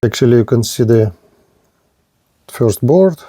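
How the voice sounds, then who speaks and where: A man presents a hands-on product demonstration in a soft-edged, mellow voice. His delivery is slow and halting, broken into short fragments as he goes step by step. The sound is dry and close, with very little room to it. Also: mid-range voice, lively intonation, slightly rough, no room sound. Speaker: gadget reviewer presenting a radio.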